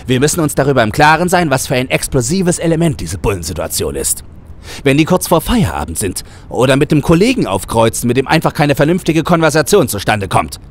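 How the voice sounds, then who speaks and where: A man voicing an exasperated, preachy hitman who talks emphatically in a satirical audio play. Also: on the high side. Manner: emphatic, indignant, sweary.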